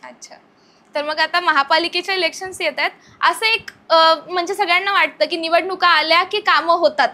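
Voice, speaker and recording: A woman's voice: very high-pitched, fairly even intonation, a little fast, bright, forceful tone. A woman interviewing a guest on a podcast, putting conversational questions about politics.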